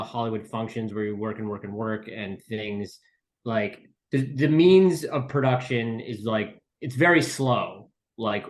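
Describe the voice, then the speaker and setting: Casual man in an interview. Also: mid-range voice. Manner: conversational, frequent 'like' and 'you know'. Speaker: podcast guest, screenwriter and co-creator of an audio drama.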